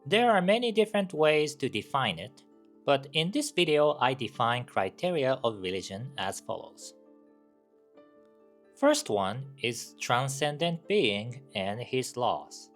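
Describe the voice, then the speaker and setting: A man's voice: mid-range voice, very animated, unhurried, a bit bright, faint music behind. A Japanese man with a Japanese accent, a campus minister giving a scripted explainer talk with rhetorical questions and asides.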